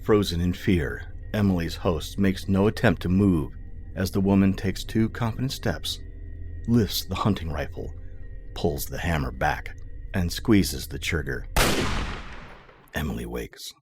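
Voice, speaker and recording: A man's voice: sits low, expressive, a little slow. A man narrating scripted audio fiction in a dramatic storytelling style. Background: music.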